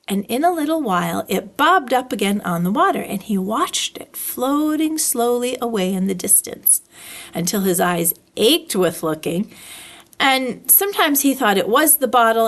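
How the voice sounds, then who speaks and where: A woman reading a children's storybook aloud as a narrator, with expressive, deliberate phrasing. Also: sits high. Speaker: library story reader.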